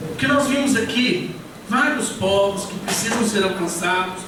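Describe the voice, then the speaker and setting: Casual preacher from Goiás with the accent, highish voice, heavy church echo, sounds far from the mic. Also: male voice, normal speed. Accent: Goiás Brazilian Portuguese. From Goiás, Brazil. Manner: relaxed, conversational, many fillers and tag questions. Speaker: guest pastor and missionary. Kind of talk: church sermon. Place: church hall. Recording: echoey church hall, picked up from afar, noisy.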